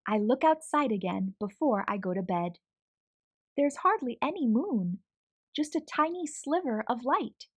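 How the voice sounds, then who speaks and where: A young woman reads a children's picture book aloud with expressive, animated delivery. Her voice sits a little high and moves lively through the lines. The sound is close and dry. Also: no echo, highs cut off. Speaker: storyteller.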